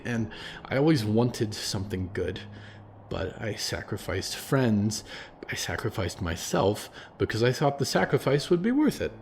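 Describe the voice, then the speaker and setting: A man's voice: medium pitch, darkish tone, slight background noise, voice pushed up. A man voicing a thoughtful woman looking back on her past choices. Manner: earnest, thoughtful. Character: woman, reflective, remorseful former zealot.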